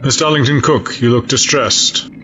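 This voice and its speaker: A man acts a grave fleet admiral in an audio drama. He is measured, formal and coldly logical, at an average male pitch. The recording is dull with faint noise. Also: fairly even intonation, pushed and bright, natural voice. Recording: dull lo-fi sound, audible noise.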